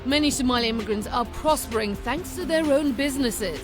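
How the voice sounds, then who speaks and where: A woman presenting a television news magazine, reading scripted narration in a measured, formal way. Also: high-pitched. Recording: voice-over with music behind.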